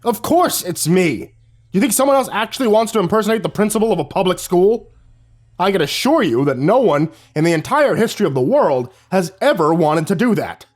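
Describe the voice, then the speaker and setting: A man's voice: fairly high pitch, very animated, brisk, darkish tone. A man voicing a mild-mannered school principal who sputters and turns stern and demanding. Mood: stern anger.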